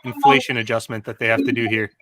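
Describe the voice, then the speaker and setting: A man's voice: medium pitch, level tone, fast talker, a loud crowd. A man on a live-stream panel, bantering playfully and laughing often. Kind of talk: casual live-stream chat.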